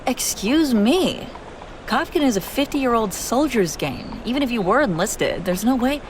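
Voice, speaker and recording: A woman's voice: on the high side, expressive, unhurried, a little hiss or hum. A woman playing a haughty, wry young princess on the run in an acted fantasy audio roleplay, bantering with exasperated sarcasm. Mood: teasing, exasperated. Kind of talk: acted audio roleplay, one-sided dialogue.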